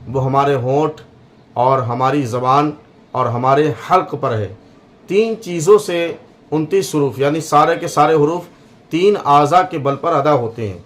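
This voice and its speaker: Fairly high-voiced man teaching pronunciation. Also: a bit bright. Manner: slow, demonstrating sounds by repeating them. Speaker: Quran teacher. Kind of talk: religious lesson on letter pronunciation.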